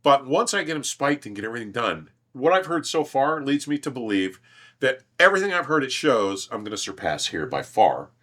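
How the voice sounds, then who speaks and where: Guy hosting an audio review chat, bright punchy voice, pitch moves a lot, emphatic and opinionated. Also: very animated, a little fast. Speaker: host, audio equipment reviewer. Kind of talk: casual enthusiast conversation. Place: large, minimally treated listening room.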